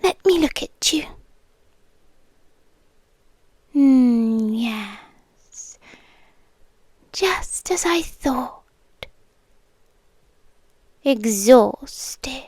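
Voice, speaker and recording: A British woman voicing a possessive, softly menacing serpent monster girlfriend in an intimate ASMR roleplay, often slipping into whispers. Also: high voice, lively intonation, very slow, pauses often, slightly rough, no room sound, clean studio sound, exaggerated voice. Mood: coaxing, tender. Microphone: close microphone, dry.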